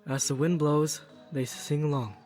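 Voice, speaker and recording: An actor voicing a nervous, hesitant young villager in a video game, speaking with a halting stutter. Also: mid-range voice, fairly even intonation, close to an everyday voice. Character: stammering, nervous young villager helping a stranger. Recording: loud game music behind.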